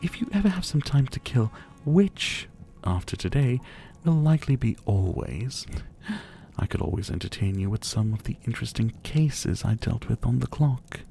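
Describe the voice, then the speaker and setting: A man playing a suave, possessive vampire who was once a doctor, speaking to the listener in a theatrical, softly menacing ASMR roleplay. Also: medium pitch, very animated, moderate pace, gravelly. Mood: teasing, controlling. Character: older male vampire captor, former doctor, suave, possessive.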